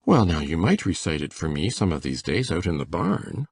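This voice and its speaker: Audiobook role: gentle country man, played by an actor, very deep and husky, folksy phrasing, dry close sound. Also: big pitch swings, moderate pace, no echo, heavily acted. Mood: gently encouraging. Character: Matthew, mild, admiring country man. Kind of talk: dramatized audiobook acting.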